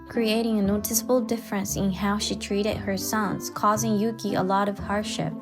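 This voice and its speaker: A woman giving scripted first-person narration as the narrator of a dramatized story. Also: mid-range voice.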